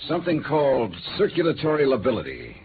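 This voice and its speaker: An actor playing a wry, hard-boiled American insurance investigator in clipped, world-weary 1950s radio noir style. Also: male voice, medium pitch, wildly swooping pitch, fast, noisy background. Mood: low, earnest. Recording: tinny old radio recording with music.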